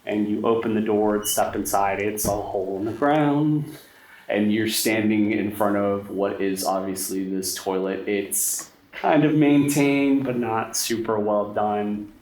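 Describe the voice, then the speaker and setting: A man's voice: fairly low pitch, fairly even intonation, a little slow, darkish tone. A man acting as game master, narrating a tabletop horror game in an informal, descriptive way. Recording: roomy echo, background noise.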